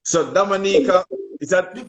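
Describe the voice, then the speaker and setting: A man's voice: sits high, normal speed, no echo, highs cut off. A man hosting a casual podcast chat, asking eager questions in patois-laced speech. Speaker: host.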